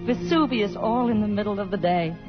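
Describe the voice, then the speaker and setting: A woman's voice: mid-range voice, a little fast, natural voice. An actress playing an eager, wistful, refined young woman traveler in an old radio romance. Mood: delighted. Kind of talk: 1950s radio drama acting. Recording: muffled old radio broadcast, music behind.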